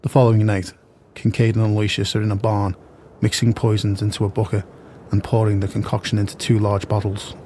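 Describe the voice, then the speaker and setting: Low, mellow, flat male narrator. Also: a little fast, slight background noise. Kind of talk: scripted scene narration.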